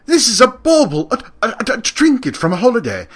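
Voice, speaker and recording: A man's voice: extremely high pitch, huge pitch swings, brisk, full character voice. An actor playing a nervous, flustered clockmaker in an audio drama, stammering as he protests.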